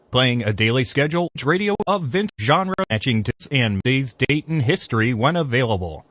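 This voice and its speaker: A man's voice: medium pitch, fairly bright tone, no room sound. A man reading scripted station announcements as a radio announcer. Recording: dull, muffled audio.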